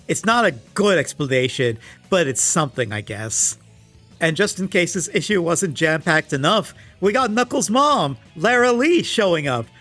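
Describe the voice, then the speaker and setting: A man's voice: high-pitched, a bit bright. A man delivering scripted comedic commentary in a sarcastic, exasperated way with emphatic swings. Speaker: video essayist reviewing comics. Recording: music playing behind the voice. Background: background music.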